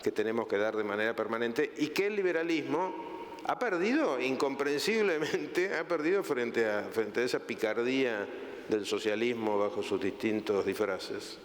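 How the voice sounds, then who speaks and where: Halting Argentine man moderating a panel. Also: medium pitch, lively intonation. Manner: halting, repeats words while asking questions. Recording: echoey hall, crowd faintly behind.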